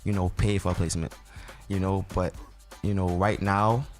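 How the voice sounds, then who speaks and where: A man's voice: low voice, fairly even intonation, moderate pace, faint music behind. A man from Barbados with an accent that slips between Bajan and American, answering interview questions in a laid-back, rambling way full of fillers. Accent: Barbadian mixed with American. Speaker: soca artist.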